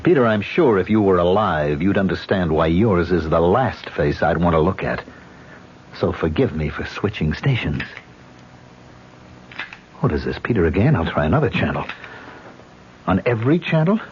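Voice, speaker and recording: Brief: a shaken man muttering to himself, very deep but lively voice, lo-fi old radio. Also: normal speed, long pauses, a little hiss or hum. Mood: rattled. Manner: agitated, incredulous, talking to himself. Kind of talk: 1970s radio drama acting. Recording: dull lo-fi old radio recording.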